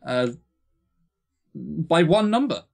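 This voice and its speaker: A man's voice: a little high, very animated, very slow, gravelly, a little mellow. A man of twenty-two co-hosting a podcast in casual, joking chat, with tongue-in-cheek, self-deprecating banter. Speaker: podcast co-host.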